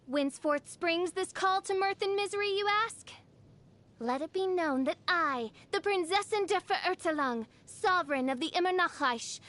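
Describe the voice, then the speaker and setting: Casting: a grandiose young game princess, extremely high pitch, pompous and melodramatic delivery. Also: female voice, fairly bright tone, exaggerated voice. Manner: pompous, archaic, melodramatic diction. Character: theatrical young self-styled princess, haughty and grandiose. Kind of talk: anime game character voice lines.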